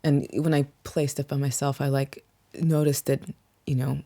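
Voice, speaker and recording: A woman's voice: very deep voice, flat delivery, moderate pace. A young woman with a mixed accent touched by American and South African inflections, reflectively talking through her background as an interview guest, with many "um"s and "like"s. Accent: mixed, slight American and South African inflections. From England (Yorkshire). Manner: reflective, frequent "um" and "like", soft laughs. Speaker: guest singer-songwriter. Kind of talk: casual interview chat.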